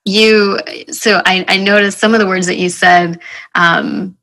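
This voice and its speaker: A woman's voice: medium pitch, fairly even intonation, measured pace, fairly bright tone. A woman hosting a podcast, chatting in a friendly, casual way with lots of fillers. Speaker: podcast host. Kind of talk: casual interview chat.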